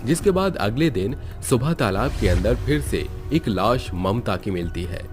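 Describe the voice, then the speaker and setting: A man's voice: fairly low pitch, expressive, unhurried, faint music behind. A man narrating a scripted horror story as a storyteller, in a dramatic style.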